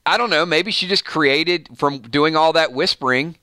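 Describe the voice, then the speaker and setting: A man's voice: a little high, normal speed, sharp tone, smooth, dry recording, studio quality. A man with a Georgia accent hosting a casual live stream, rambling and laughing as he chats with viewers. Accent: Georgia (US South). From Georgia, USA. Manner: chatty, rambling, laughs often, reads viewer comments. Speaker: show host.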